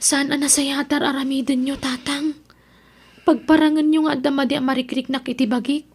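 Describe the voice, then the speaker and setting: A woman's voice: high voice, level tone, fairly quick. A woman acting as an honest, principled young daughter in an Ilocano radio drama, earnest and pleading, with an Ilocano accent. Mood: reproachful. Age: young adult.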